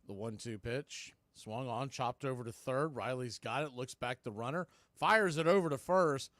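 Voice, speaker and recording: A man's voice: mid-range voice, moderate pace, a bit bright, no echo. A man doing live baseball play-by-play in clipped phrases with conversational asides. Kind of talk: live sports commentary.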